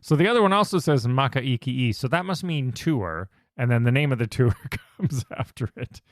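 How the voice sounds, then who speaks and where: A man's voice: medium pitch, lively intonation, normal speed, dry recording, clean studio sound. A man co-hosting a podcast in casual chat, chatty and enthusiastic, laughing often. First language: not Hawaiian. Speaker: podcast co-host.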